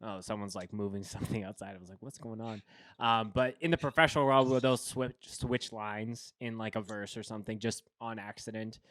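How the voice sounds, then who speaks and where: A man's voice: fairly low pitch, a little fast, a bit bright, no echo. A man hosting a podcast, chatting casually with a guest and often restarting his sentences. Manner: rambling, many restarts and fillers. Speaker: podcast host. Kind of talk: casual chat.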